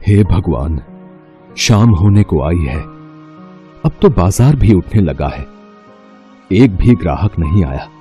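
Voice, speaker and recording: Man acting a low, mellow, weary old fisherman. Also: animated, slow pace, long pauses, soft background music. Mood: worried, plaintive. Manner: humble, resigned, plaintive. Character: poor, weary, pious old fisherman in a cartoon.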